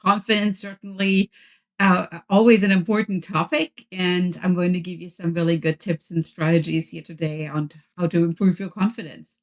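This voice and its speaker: A woman's voice: lowish voice, flat delivery, moderate pace. A woman from Germany with a German accent, a native German speaker, talking as a podcast guest and giving structured, upbeat advice. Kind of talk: interview conversation.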